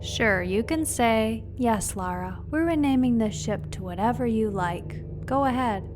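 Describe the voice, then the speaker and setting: A woman's voice: a little high, lively intonation, measured pace, music in the background. A woman playing an exasperated, sarcastic mercenary pilot with a blunt manner. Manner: blunt, sardonic.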